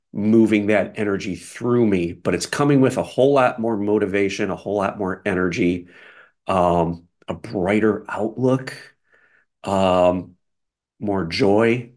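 A man giving an unscripted spiritual energy update as a coach, speaking in a soft, flowing, guiding way with short repeated list phrases. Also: lowish voice.

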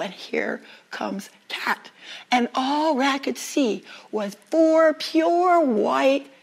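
Female storyteller, slightly high husky voice, dramatic deliberate delivery for live oral folktales. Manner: dramatic, deliberate phrasing with pauses.

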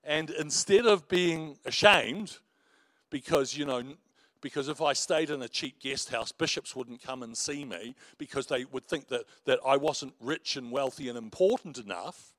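A man's voice: mid-range voice, normal speed, no echo. A middle-aged man with a big voice, preaching a sermon as a pastor and telling stories with self-mocking humor. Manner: animated storytelling preacher, warm asides and self-mocking laughs.

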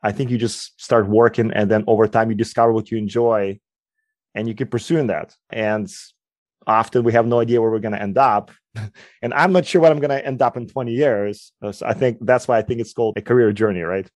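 Measured man with a Ukrainian accent. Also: lowish voice, a little mellow, no room sound. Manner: measured, explanatory, occasional "uh". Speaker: guest, quantitative researcher. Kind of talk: interview answers.

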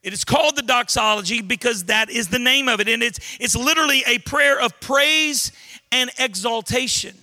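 A man's voice: very high-pitched, moderate pace, sharp tone. A middle-aged man with a Southern accent from Louisiana, preaching a sermon as pastor with folksy jokes, asides and frequent restarts.

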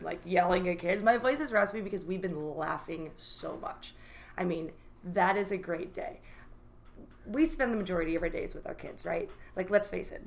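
A woman's voice: lowish voice, gravelly, a little hiss or hum. A woman teacher talking casually in an interview, enthusiastic and chatty, full of "like".

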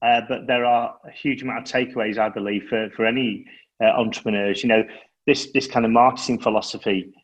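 A man co-hosting a startup podcast, explaining in a measured, explanatory way. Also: mid-range voice, fairly even intonation, muffled recording. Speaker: podcast co-host and startup founder. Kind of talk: casual podcast chat.

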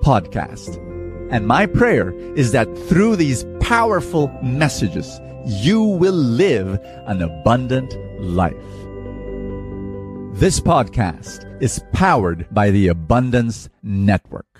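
A man reading a scripted podcast introduction in a slow, measured way. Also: mid-range voice, very animated, over music. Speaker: podcast announcer.

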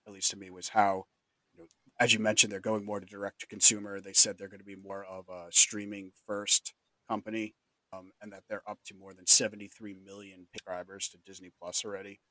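Fast-talking low-voiced man hosting. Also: little pitch movement, pushed and bright, low-quality recording. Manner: fast-talking, steering the discussion with fillers. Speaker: podcast host. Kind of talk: casual podcast chat.

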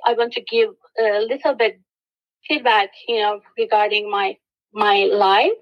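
A woman from Turkey with a Turkish accent, giving an earnest, steady interview answer with many fillers and restarts. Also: medium pitch, unhurried, muffled recording. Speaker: guest, education specialist.

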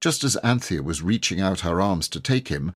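Deep, expressive male storybook narrator. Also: slightly rough. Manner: measured, expressive reading. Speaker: audiobook narrator.

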